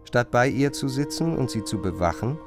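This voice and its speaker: A man's voice: medium pitch, expressive, moderate pace, over music. A man narrating a radio drama in a scripted, literary style.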